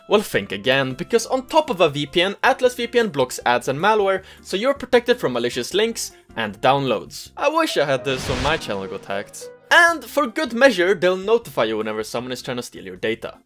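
A woman hosting a channel, giving a playful, animated scripted sponsor read. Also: mid-range voice. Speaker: channel host.

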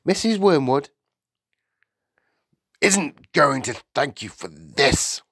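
A man's voice: fairly high pitch, sing-song, slow pace, creaky, a bit bright, slightly rough, no room sound, clean studio sound, voice pushed up, heavily acted. A man voicing a rude, impatient middle-aged father in a storybook, with a curt, dismissive way of snapping out his words. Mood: irritated, curt.

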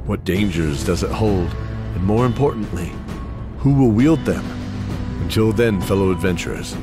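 A man's voice: low voice, lively intonation, normal speed, music in the background. A man as narrator, reading scripted podcast credits and announcements.

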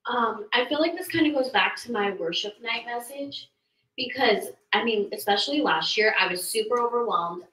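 A woman's voice: on the high side, lively intonation, moderate pace, pushed and bright. A young woman shares a casual personal reflection in a group discussion, in a small room with a handheld microphone. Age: young adult. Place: small indoor meeting room. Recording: echoey room, distant from mic.

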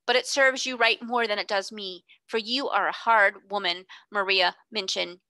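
A woman's voice: animated, normal speed, pushed and bright, no room sound. A woman reading voices a timid middle-aged schoolmistress who breaks into tearful hysterics. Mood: hysterical. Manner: tearful, pleading, rising to shrill outbursts.

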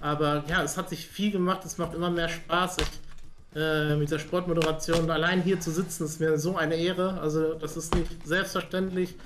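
A young man chatting casually on a livestream as a sports streamer, in an earnest, halting way. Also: on the high side, flat delivery, noisy background. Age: young adult. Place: small home studio room, on a video call.

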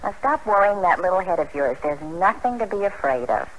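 An actress playing a breezy, fearless young wife and circus performer in an old-time radio drama. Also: female voice, lowish voice, animated, brisk. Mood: cheerful, soothing. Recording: noisy vintage radio broadcast recording.

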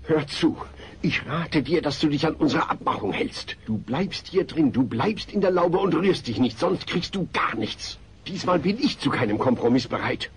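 A man's voice: a little high, expressive, moderate pace, slight background noise, lightly acted. An actor playing a fussy, earnest suburban father in a sitcom audio drama, easily flustered and hesitant. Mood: exasperated, stern.

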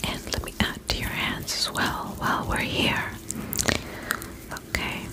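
A woman whispering gently and reassuringly in a breathy voice, as a spa attendant in an ASMR roleplay. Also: unhurried. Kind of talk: ASMR roleplay, personal-attention service talk.

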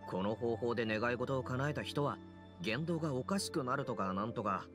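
A doubtful young anime game villager, played by a man, speaks cautiously and plainly at a medium pitch. Prominent music plays behind the voice. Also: moderate pace, lightly acted. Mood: wary. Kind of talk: Japanese anime game voice acting.

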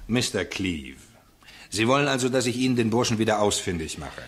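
Man playing a animated, dismissive police inspector. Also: fairly low pitch, brisk, a little hiss or hum, natural voice. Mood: dismissive, smug. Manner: condescending, blunt. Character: dismissive, self-assured, folksy male police inspector. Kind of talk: German radio crime drama acting.

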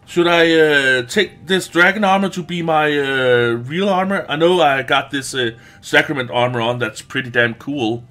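A man's voice: medium pitch, sharp tone. A man giving casual let's play commentary as host, informal and sweary, thinking aloud. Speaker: gaming video host.

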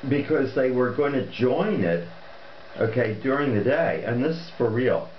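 A man's voice: mid-range voice, expressive, moderate pace, a little hiss or hum. An older man at a family dinner table, telling a rambling story full of okays and restarts. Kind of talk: casual chat, storytelling. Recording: lo-fi echoey room.

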